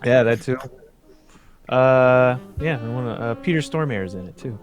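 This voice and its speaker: Young man chatting with lively intonation. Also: mid-range voice, moderate pace, soft background music. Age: young adult. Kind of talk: casual chat.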